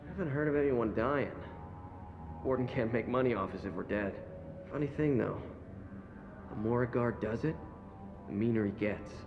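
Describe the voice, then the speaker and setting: An actor playing a jittery, street-tough convict in a video game, talking in offhand slang. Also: male voice, mid-range voice, normal speed, pauses often, lo-fi sound, music in the background, lightly acted. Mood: offhand, wary.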